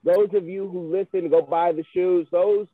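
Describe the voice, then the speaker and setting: A man's voice: high-pitched, steady tone, moderate pace, no room sound. A man hosting a podcast, interviewing casually with rambling asides. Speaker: podcast host. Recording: thin phone-like audio, dry.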